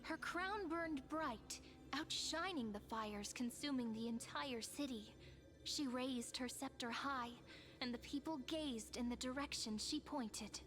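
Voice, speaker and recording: A woman voicing a gentle, playful young companion who narrates a legend and teases her friend, in soft scripted game dialogue over loud music. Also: high voice, a little slow. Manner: soft, teasing, storytelling.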